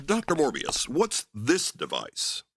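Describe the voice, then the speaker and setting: A man's voice: a little high, huge pitch swings, slow, noisy background, heavily acted. A man playing a flustered, eager space cruiser commander with a weakness for women, in a radio sci-fi parody. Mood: eager, curious. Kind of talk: old-time radio sci-fi parody acting.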